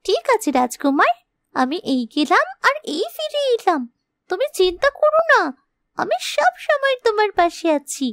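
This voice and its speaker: A woman's voice: extremely high pitch, sing-song, normal speed, a bit bright, no echo, clean studio sound, full character voice. A woman voicing a loyal, eager talking myna bird in a cartoon, chirpy and keen to please. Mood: eager, cheerful.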